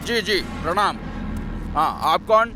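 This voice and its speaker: A man playing a chatty, folksy property broker, effusive and eager to please in a comic animated story style. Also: high-pitched, slow, music in the background. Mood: hurried, irritated. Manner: effusive, colloquial, ingratiating.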